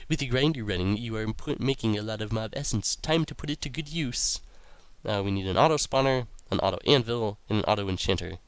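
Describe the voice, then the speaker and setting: A young man hosting a game playthrough, talking casually with a raspy, sick-sounding voice and rambling with lots of ums and uhs. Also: on the low side, a little fast, no room sound, rough recording. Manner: rambling, many ums and uhs, reads quest text aloud. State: raspy voice from illness. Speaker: let's play host.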